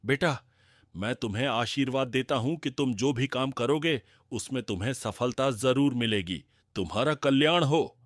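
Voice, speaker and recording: A man's voice: medium pitch, normal speed, dry recording, close to an everyday voice. Kindly old holy man, acted by a man, dry sound. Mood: kindly, blessing. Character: kindly, frail old wandering holy man, gently blessing. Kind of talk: cartoon moral story acting.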